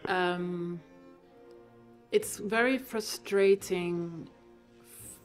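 A woman's voice: on the low side, extremely slow, faint music behind. A young woman from Lebanon, a composer, talking animatedly in a podcast interview and laughing often. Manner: animated, laughing often, switching between languages. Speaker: composer guest. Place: room with Christmas trees. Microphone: studio mic on boom arm.

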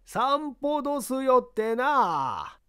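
A reader voicing an elderly inn woman with a Kyoto accent, polite but evasive, in drawn-out singsong replies for an audiobook. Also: high-pitched, very animated, a bit bright, smooth, voice pushed up, heavily acted. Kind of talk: audiobook character acting.

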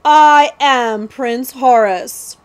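A woman's voice: a little high, animated, measured pace, darkish tone. A woman voicing a haughty, bratty young prince in a storybook, snapping out imperious orders. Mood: indignant.

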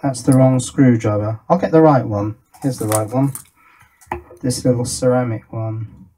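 A man's voice: medium pitch, measured pace, warm tone, husky. A middle-aged man giving casual hands-on electronics commentary, thinking aloud and asking himself questions as he works. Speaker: electronics hobbyist presenter. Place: indoor workbench.

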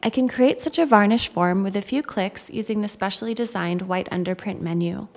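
A woman narrates a scripted software tutorial in a mid-pitched voice. Her delivery is measured and instructional, with music playing faintly behind her. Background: background music.

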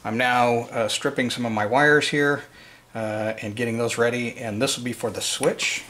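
A man's voice: mid-range voice, fairly even intonation, normal speed, a bit bright. Man giving a casual hands-on tutorial. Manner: informal, unscripted, explanatory. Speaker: presenter of a DIY wiring tutorial. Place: indoors at a work table in a room.

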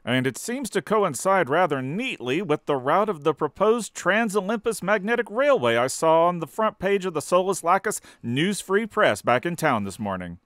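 Lively space marshal. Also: male voice, high voice, normal speed, few pauses, no echo, clean studio sound. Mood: dry, suspicious. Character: dry, suspicious male space marshal hero. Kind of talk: comic space-adventure radio acting.

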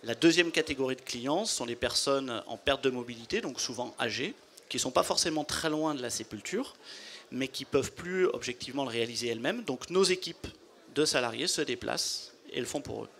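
A man's voice: medium pitch, lively intonation, fairly bright tone, slight background noise. Businesslike man at a trade fair booth. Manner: measured, explanatory, businesslike. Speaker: company founder. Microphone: handheld mic.

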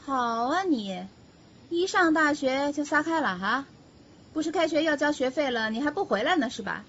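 A woman playing an angry, scolding mother in an audio drama, with a shrill manner. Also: animated, moderate pace, fairly bright tone, dull audio, slight background noise. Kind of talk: Chinese audio drama acting.

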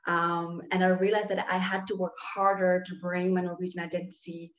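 A woman's voice: fairly low pitch, level tone, a little fast, muffled recording. Earnest woman on a roomy video call. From Norway. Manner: earnest, reflective, gestures while talking. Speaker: guest speaker, sociologist. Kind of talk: personal storytelling over video call. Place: living room at home. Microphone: laptop webcam on video call.

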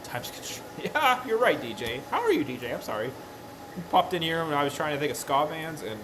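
A man's voice: fairly high pitch, very animated, a little fast. Chatty man over an air-conditioner hum. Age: 30s. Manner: rambling, chatty, trails off and self-corrects. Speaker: streamer. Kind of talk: casual chat with live chat while crafting. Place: workshop room with a worktable.

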